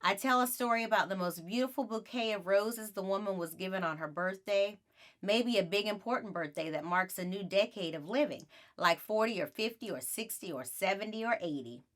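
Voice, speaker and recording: A woman narrating a children's book aloud in an expressive storytelling style. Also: medium pitch, brisk.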